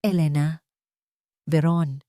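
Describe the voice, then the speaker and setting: A worried, frail older father speaks in a halting, bewildered way in a dramatic audio story, as a woman narrator puts him on. The delivery is weak and unsure. The sound is dry and close. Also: deep voice, fairly even intonation, mellow, no echo. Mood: stunned.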